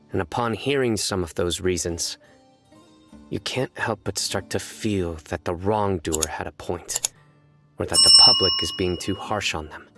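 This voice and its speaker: Game role: stern young male lawkeeper, low register, grave, reserved, deadpan delivery. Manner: measured, reserved, formal, deadpan. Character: stern, solemn young male judge-like enforcer of scholarly law. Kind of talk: scripted video game character voice lines. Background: background music.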